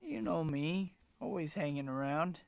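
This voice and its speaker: A man's voice: a little high, expressive, a little slow, vocal fry, darkish tone, smooth, no echo. An actor voicing a wisecracking young male superhero in a video game, with a dry, quippy delivery. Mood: wry.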